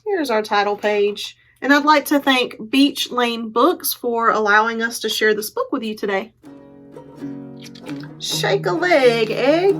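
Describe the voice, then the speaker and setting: A woman with a high voice hosts a storytime for young children. She takes her time, with an unhurried pace, as she presents and reads aloud. Her words are simple and aimed straight at the children, inviting them along. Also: lively intonation, fairly bright tone, faint music behind. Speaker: children's librarian hosting storytime.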